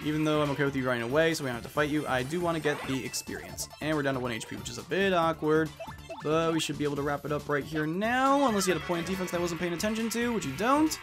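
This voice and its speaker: A man's voice: fairly high pitch, racing. Chatty man commentating over game music. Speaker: let's player. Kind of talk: casual gaming commentary. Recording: music behind the voice.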